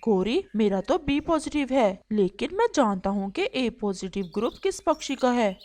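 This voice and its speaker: A woman's voice: fairly high pitch, very animated, moderate pace, darkish tone. A woman voicing a knowing male pigeon in a cartoon story, blunt and earnest. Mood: frank.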